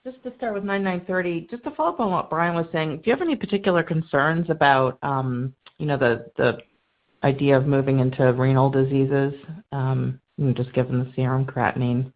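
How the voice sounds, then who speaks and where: A woman's voice: extremely low pitch, a little fast. A woman calling in as a financial analyst, asking questions on an earnings call in polite, tentative phrasing. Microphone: telephone. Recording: tinny, narrow phone line.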